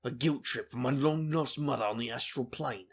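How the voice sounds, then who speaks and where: A man's voice: medium pitch, moderate pace, gravelly, husky. A man playing a wry, weary investigator for hire, dry and sardonic, in a comic audio drama. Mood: wary, sardonic.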